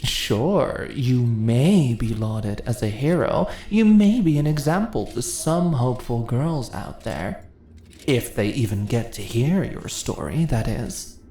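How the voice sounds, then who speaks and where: A woman playing an ancient, seductive goddess in an ASMR fantasy roleplay, speaking in a theatrical, deliberate, condescending yet coaxing manner. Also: extremely low pitch, expressive, warm tone, exaggerated voice. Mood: stern, persuasive. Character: ancient seductive goddess, imperious, teasing, persuasive. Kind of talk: ASMR fantasy roleplay, intimate dramatic monologue. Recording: roomy echo, audible ambient noise.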